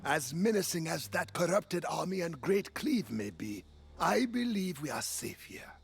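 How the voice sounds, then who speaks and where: Slow, creaky wise warden. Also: male voice, on the high side, sing-song, soft background music, exaggerated voice. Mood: solemn. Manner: measured, solemn, dramatic swoops. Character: grave, wise mentor warden of a fantasy realm. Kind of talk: fantasy game acting.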